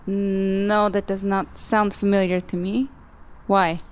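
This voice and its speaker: A woman roleplaying an earnest, vulnerable amnesiac woman with a halting, pleading way of talking. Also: mid-range voice, level tone, natural voice. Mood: guarded.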